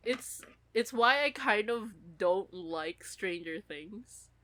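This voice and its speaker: A woman's voice: medium pitch, lively intonation, takes it slowly, pushed and bright. A woman co-hosting a podcast in casual joking banter, wry, giggly and slangy. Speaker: podcast co-host.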